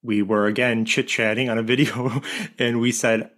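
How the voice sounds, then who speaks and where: A man tells stories on a podcast in an easygoing, chatty way. His voice sits at a middle pitch, and he laughs easily as he goes. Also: moderate pace. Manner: relaxed storytelling, laughs easily. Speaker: grief coach and co-author guest. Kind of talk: casual podcast interview.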